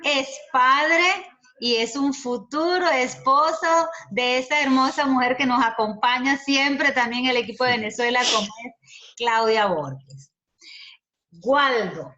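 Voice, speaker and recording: Woman presenter, slow and bright, on muffled video call audio. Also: high-pitched, lively intonation. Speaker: host. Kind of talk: formal welcome and introduction.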